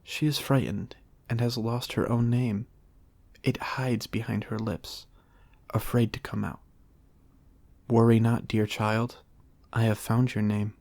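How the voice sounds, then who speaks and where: A man voicing a serene, gentle female lamb spirit from a storybook, speaking formally and with calm reassurance. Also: medium pitch, slow, creaky, pauses often, dry recording. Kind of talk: storybook character reading.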